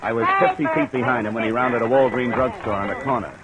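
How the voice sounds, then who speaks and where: An actor playing a tough, wry insurance investigator in a 1940s radio drama, speaking in a clipped, sardonic way. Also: male voice, medium pitch, huge pitch swings, fast. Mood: tense narration. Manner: clipped, hard-boiled, sardonic. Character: tough, wry male insurance investigator narrating his case. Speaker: lead actor and narrator. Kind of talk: 1940s radio detective acting. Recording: noisy vintage radio recording.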